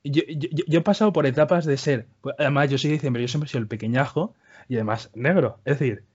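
A man's voice: medium pitch, fast, darkish tone, husky. A man from Spain with a Spanish accent, co-hosting a casual podcast chat, talking hesitantly with many restarts and repeated words. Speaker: podcast co-host. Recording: muffled lo-fi audio.

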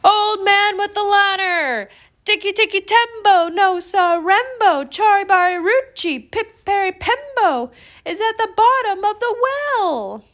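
A woman puts on a small storybook boy who is frantic and out of breath. The voice is pitched very high and calls out loudly, stretching words slowly as it pleads. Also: expressive. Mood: desperate shouting. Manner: shouting, gasping, pleading.

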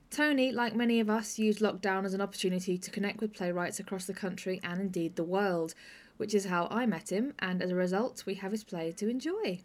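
A woman speaking as the host of a podcast. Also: medium pitch. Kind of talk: podcast interview and introduction.

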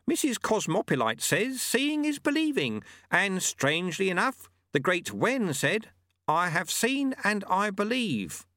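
A man's voice: sits high, wildly swooping pitch, unhurried, pushed and bright, dry recording, studio quality, voice pushed up, full character voice. A man voicing a wry, unruffled sweeper monk in an audiobook, with a breezy, proverb-quoting manner.

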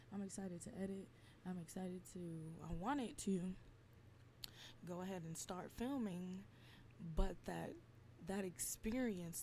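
Brief: twenties woman, dark mellow tone, slight rasp, blunt and emphatic, low faint hiss behind. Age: 20s. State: slightly raspy from lack of sleep. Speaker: podcast host. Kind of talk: casual venting monologue.

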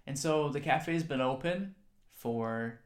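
A man co-hosting a casual podcast, asking questions haltingly with repeated restarts. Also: medium pitch. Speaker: podcast co-host. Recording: echoey room.

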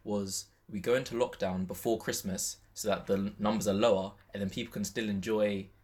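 A man's voice: on the low side, steady tone, fairly quick, a little mellow. A man talks as a guest in an easygoing conversation. His wording is informal and full of everyday slang. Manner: informal, slangy, frequent "like" as filler. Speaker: podcast guest. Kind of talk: casual chat.

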